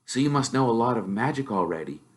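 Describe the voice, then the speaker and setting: A man's voice: mid-range voice, animated, fast, voice pushed up, lightly acted. A man voicing a modest, curious young boy wizard in a storybook, plain and earnest.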